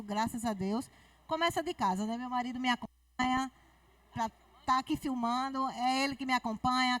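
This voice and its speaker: A woman's voice: high voice, fairly bright tone, no room sound, far from the mic, rough recording. A woman author speaking firmly and with self-assurance on a book fair panel.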